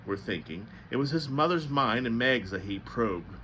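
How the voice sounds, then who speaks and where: A man reading a novel aloud as narrator, with a slightly halting delivery that stumbles now and then. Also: mid-range voice, expressive, bright, forceful tone, lo-fi sound, slight background noise. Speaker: storybook reader.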